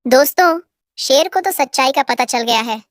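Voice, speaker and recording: A woman's voice: high voice, expressive, normal speed, no echo, clean studio sound. A woman narrating a scripted animal story for children as the storyteller.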